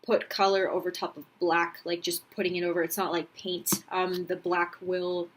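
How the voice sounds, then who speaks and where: A young woman talks straight to the camera in a relaxed personal vlog. Her voice sits on the low side, and she speaks candidly, circling back with frequent ums and restarts. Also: normal speed, slight background noise. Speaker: vlogger. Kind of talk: casual talking-to-camera vlog.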